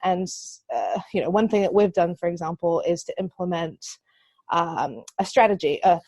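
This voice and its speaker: A woman's voice: on the low side, moderate pace, a little mellow, no room sound, muffled recording. A woman from New Zealand with a New Zealand accent, a marketing agency owner talking earnestly as a podcast guest and thinking aloud with fillers. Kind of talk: podcast interview.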